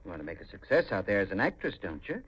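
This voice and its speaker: An American man playing a greedy, scheming middle-aged country uncle in a 1950s radio mystery, folksy, curt and dismissive, with a husky voice. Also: low-pitched, sing-song, racing, a bit bright, heavily acted. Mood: scheming, insistent. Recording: dull, lo-fi 1950s radio recording.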